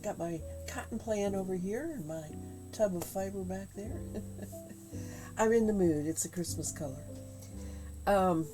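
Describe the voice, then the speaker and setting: A woman with a head cold chatting casually on a livestream while she spins yarn at home, rambling with many fillers and halting pauses. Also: medium pitch, poor audio. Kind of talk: casual chat while spinning. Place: home craft room. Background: faint quiet music.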